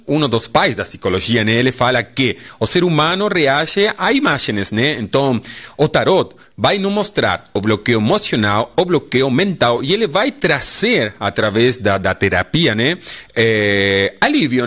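A middle-aged Argentine man with a Spanish accent, a holistic therapist guest speaking deliberately in a radio studio interview. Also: mid-range voice. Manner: deliberate, lively intonation with frequent "né?".

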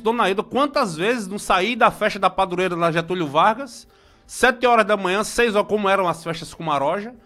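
A Brazilian man in his forties giving casual local commentary, chatty and nostalgic, with frequent restarts and shout-outs to friends. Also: high voice. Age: 40s.

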